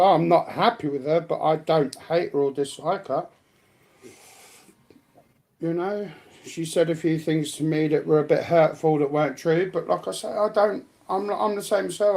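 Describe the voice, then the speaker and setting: A man's voice: fairly high pitch, fairly even intonation, moderate pace, vocal fry, darkish tone. A man chatting casually on a livestream panel, talking in a rambling way and repeating himself for emphasis.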